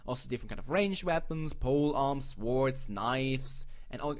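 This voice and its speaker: A man's voice: mid-range voice, lively intonation, moderate pace. A man from Switzerland with a Swiss accent, speaking English as a non-native host in a casual, rambling, playful commentary, often correcting himself. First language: a language other than English. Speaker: host of a video channel. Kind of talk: casual commentary on game crowdfunding projects.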